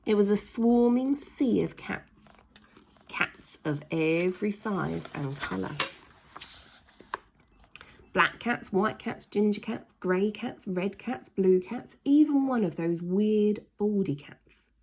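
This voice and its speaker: Animated, slow-paced woman reading a children's story. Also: medium pitch, breathy edge, rough recording. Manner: dramatic, drawn-out emphasis on key words. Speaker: teacher reading aloud.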